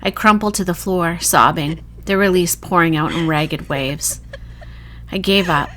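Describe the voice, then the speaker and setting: A woman reading a first-person horror short story in a dramatic, emotive, hushed storytelling manner. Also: on the low side, measured pace.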